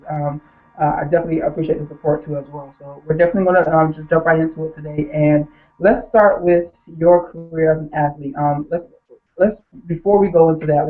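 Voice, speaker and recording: A man interviewing an athlete, polite and a little halting, with many fillers. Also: fairly high pitch, fairly even intonation, soft background music. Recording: tinny phone line.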